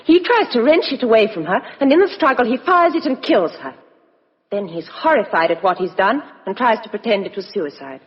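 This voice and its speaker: An actress playing a cool, outspoken, sharp-minded young Englishwoman in a 1950s radio drama. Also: a little high, sing-song, a little fast. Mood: speculating. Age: young adult. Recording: muffled vintage radio broadcast, reverberant studio.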